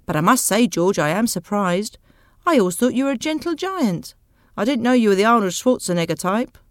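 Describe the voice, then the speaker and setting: A woman's voice: mid-range voice, expressive, a little fast, dry recording, clean studio sound, lightly acted. A woman voicing a nosy, easily amazed male factory friend in a story, speaking in an emphatic, exclaiming way. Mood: admiring.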